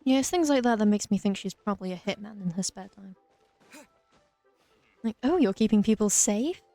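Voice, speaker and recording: A woman's voice: mid-range voice, expressive, normal speed, warm tone, dry recording. A woman giving casual, wry, joking commentary as she plays through a video game. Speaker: let's player. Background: game music.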